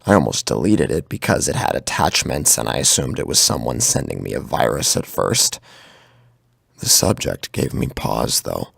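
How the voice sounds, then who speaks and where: Guy acting a broken guilt-ridden man telling his horror story, super low croaky voice, brooding, swings around a lot. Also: big pitch swings, moderate pace, fairly bright tone, dry recording, clean studio sound, full character voice. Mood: bitter grief. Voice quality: gravelly, husky. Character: anguished, guilt-ridden male victim recounting his tragedy. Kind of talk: first-person horror story narration.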